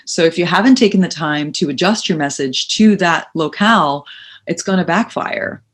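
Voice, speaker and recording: A woman's voice: fairly low pitch, moderate pace. A middle-aged woman, a voice-over coach and actress, answering interview questions with emphatic, practical advice. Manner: practical, emphatic, uses second person advice.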